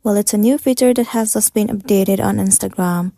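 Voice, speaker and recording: A woman's voice: medium pitch, a little fast, creaky, mellow, no room sound. A woman giving scripted step-by-step tutorial narration in a methodical, instructional manner.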